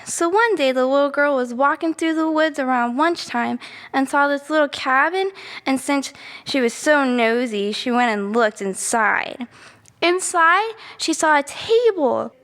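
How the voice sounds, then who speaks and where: A woman's voice: sits high, expressive, measured pace, fairly bright tone, full character voice. A woman playing a chatty, easily sidetracked little girl who rambles through a bedtime story with naive asides and self-corrections. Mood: rambling, puzzled. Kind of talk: children's storybook monologue. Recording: long reverberant room echo.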